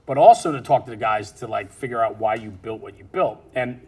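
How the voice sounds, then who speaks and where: A man's voice: on the low side, fairly quick, a little mellow, slightly rough. A man hosting a conversational interview, enthusiastic and often checking in with his listener. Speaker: interview host. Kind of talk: conversational interview about fire apparatus.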